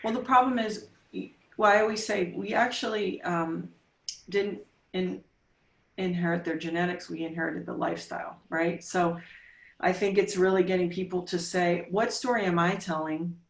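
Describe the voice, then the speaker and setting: A woman's voice: fairly low pitch, fairly even intonation, normal speed, distant mic. Woman in her 40s coaching hard, punchy questions, sounds a bit off mic in a roomy space. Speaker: podcast guest. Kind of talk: casual interview talk.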